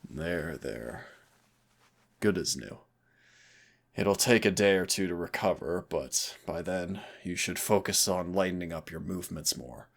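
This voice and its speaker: A man playing a centuries-old demon hunter, teasing and rebellious yet wistful and protective, in an intimate audio roleplay with a gravelly voice. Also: a bit bright, exaggerated voice. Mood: teasing, encouraging. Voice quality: very low, gravelly creaky voice. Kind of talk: intimate second-person audio roleplay acting.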